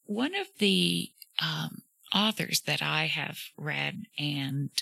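A woman hosting a reflective solo podcast, talking gently with a soothing voice and frequent fillers. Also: deep voice, expressive, slow, creaky, no echo. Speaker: podcast host.

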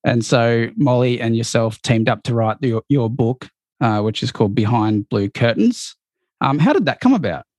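A man's voice: mid-range voice, animated, normal speed, darkish tone, dry recording. A man hosting an interview, asking questions in a friendly conversational way.

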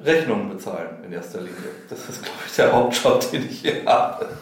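A man's voice: mid-range voice, lively intonation, fairly quick. A man interviewed as the boss, chatting casually with a wry, tongue-in-cheek manner. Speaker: audio publishing boss, interviewee. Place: indoor office. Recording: very echoey office, distant from mic.

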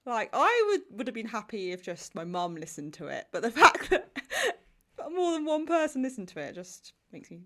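A woman's voice: fairly high pitch, very animated, normal speed, bright, forceful tone. A young woman hosting her podcast, bubbly and effusive, often restarting her sentences. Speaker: podcast host. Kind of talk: casual interview chat.

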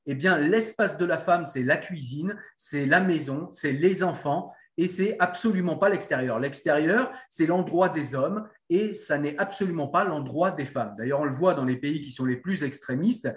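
A man's voice: on the high side, moderate pace, few pauses, reverberant. A man in conversation explaining his view emphatically. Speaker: discussion guest. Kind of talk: casual spoken debate.

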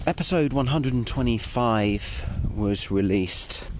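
A man's voice: medium pitch, animated, takes it slowly, a little hiss or hum. A British man recording a casual audio diary, talking in a wry, self-deprecating and hesitant way. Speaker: podcast writer and host. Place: outdoors on a walk.

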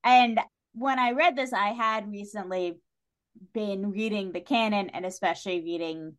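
A woman talking casually and animatedly about books as a podcast guest, with informal, salty language. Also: medium pitch. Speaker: guest on a book discussion podcast. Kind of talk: casual chat, literary discussion.

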